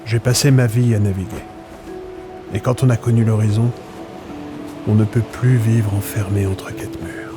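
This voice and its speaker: A man's voice: lowish voice, steady tone, moderate pace, long pauses, music in the background, lightly acted. A man playing a melancholy old sailor worn by storms, speaking in a brooding, poetic way in a French audio drama. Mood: resigned.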